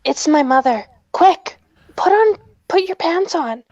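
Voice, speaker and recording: A woman playing a smitten, soothing 1950s teenage girl in a script read-through, gentle and coy. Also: very high voice, expressive, measured pace, no echo, lo-fi sound, pitched above the performer's own voice, heavily acted. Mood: flustered. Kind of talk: amateur table read of a film script.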